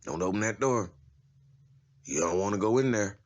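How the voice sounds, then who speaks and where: A man's voice: on the low side, lively intonation, moderate pace, close to an everyday voice. A man playing a tough, streetwise prison inmate in a comic-book drama, talking in a casual, slangy, profane way. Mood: blunt, crude. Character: tough, streetwise adult male prison inmate giving a tour.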